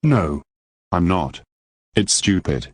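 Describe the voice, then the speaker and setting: Bratty boy voiced by a man, lively swings in tone, dry close sound. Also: sits low, slow, breathy edge, no room sound, exaggerated voice. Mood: defiant. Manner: defiant, sulky, swearing. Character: spoiled foul-mouthed bratty boy.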